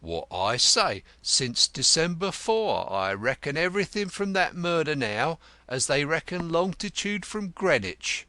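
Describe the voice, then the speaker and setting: A man's voice: fairly high pitch, very animated, a little slow, hardly any pauses, sharp tone, dry recording, pitched above the performer's own voice, full character voice. A man voicing a blunt, sardonic retired male detective in an audiobook, with a growling, mocking manner. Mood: gruff, blunt. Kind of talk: audiobook character voice.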